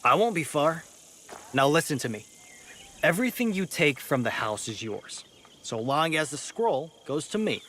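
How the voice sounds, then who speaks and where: A man's voice: a little high, very animated, moderate pace, a bit bright, a little hiss or hum. A man playing a cocky, flirtatious thief with a crusading streak for poor farmers in a video game cutscene, brash and teasing. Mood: scheming. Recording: music behind the voice.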